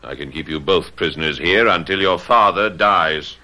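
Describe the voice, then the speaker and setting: An actor playing a cruel, vengeful middle-aged master of the house in a radio drama, menacing and commanding. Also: male voice, deep voice, normal speed, bright, forceful tone. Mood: menacing, scornful.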